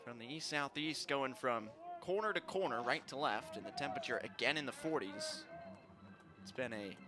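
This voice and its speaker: A man's voice: medium pitch, lively intonation, normal speed, music in the background. A man doing live play-by-play commentary of a baseball game as a sports announcer. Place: ballpark, live broadcast. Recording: noisy outdoor stadium ambience.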